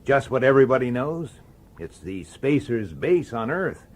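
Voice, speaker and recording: A man's voice: mid-range voice, big pitch swings, normal speed, a little hiss or hum, lightly acted. An actor playing a sardonic, grumbling middle-aged male detective who tells his case in a radio drama. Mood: bitter, exasperated. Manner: grumbling, sarcastic, hesitant with superiors. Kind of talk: radio drama acting with first-person narration.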